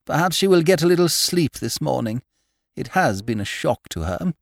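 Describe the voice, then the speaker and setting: A man voicing a stately duchess, brisk, formal and coolly polite, in an audiobook. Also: fairly high pitch, big pitch swings, gravelly, dry recording, studio quality, voice pushed up, full character voice. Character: stately, brisk aristocratic English lady, a duchess.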